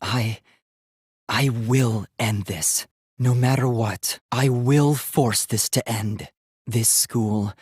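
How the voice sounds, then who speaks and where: A man's voice: fairly low pitch, slightly rough, dry recording, clean studio sound, heavily acted. A man voicing an earnest, polite young male robot in a video game, speaking in formal, precise, literal-minded phrases. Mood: solemn, resolute.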